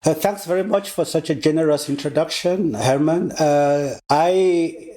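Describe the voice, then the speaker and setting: An older man from Cameroon, a guest scholar answering interview questions at an easy pace, with many pauses and fillers, over a remote call. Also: fairly high pitch, mellow. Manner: hesitant, many fillers and restarts.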